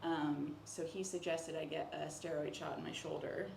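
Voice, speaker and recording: A woman's voice: low-pitched, little pitch movement, moderate pace. A woman speaking informally as a patient, casually recounting symptoms with lots of "like" and quoted remarks. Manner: informal, peppered with "like", quoting others. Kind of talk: casual conversational account of symptoms. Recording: roomy echo with clear background noise.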